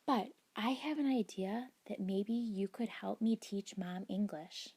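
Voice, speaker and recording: A woman voicing a shy, earnest schoolgirl in a children's storybook, soft and confiding, slipping into whispers. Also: mid-range voice, measured pace, husky. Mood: hopeful.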